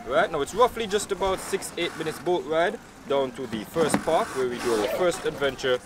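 A man's voice: a little high, normal speed, very noisy. A young man working as a boat tour guide, giving a playful announced briefing to a group outdoors. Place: outdoors by water.

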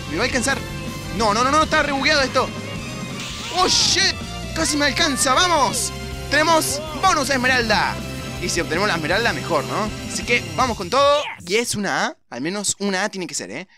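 A young man with a Latin American Spanish accent commentates a video game in a high voice. He is casual and excitable, often repeating himself. Loud game music plays behind him throughout. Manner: excitable, repetitive, mild swearing. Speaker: gaming video host. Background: video game music and sounds.

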